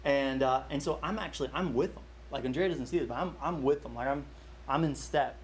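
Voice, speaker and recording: A man's voice: medium pitch, fairly quick, slight background noise. A young man leading a Bible study, telling a personal story in a casual, chatty way with many fillers and restarts.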